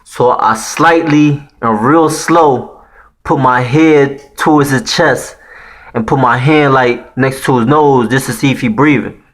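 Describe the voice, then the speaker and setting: Man, 30s, Haitian accent, casual profane storyteller, middle-pitched voice. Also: unhurried, fairly bright tone. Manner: slangy and profane, peppered with "man" and "you know what I'm saying". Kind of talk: casual spoken storytelling to viewers.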